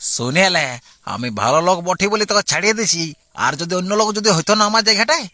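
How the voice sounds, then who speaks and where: A man's voice: sits high, lively intonation, fast, sharp tone, no room sound, exaggerated voice. A man voicing a jealous, hot-tempered village husband and father in a comic cartoon, berating in a rural Purulia Bengali accent. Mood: threatening. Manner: blustering, berating. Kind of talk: amateur comic cartoon dialogue.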